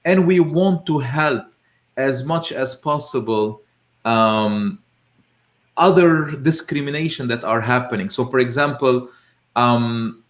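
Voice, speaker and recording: Male talk host, halting informal delivery, echoey room sound. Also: mid-range voice. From Lebanon. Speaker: host of a live discussion show. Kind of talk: informal interview discussion.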